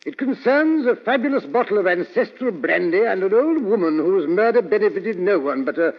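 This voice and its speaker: A genial older English gentleman raconteur in 1940s radio drama style. His British-accented voice sits fairly high and moves in lively swings, chatty and a little blustery. The old broadcast sounds muffled. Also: normal speed, long reverb tail.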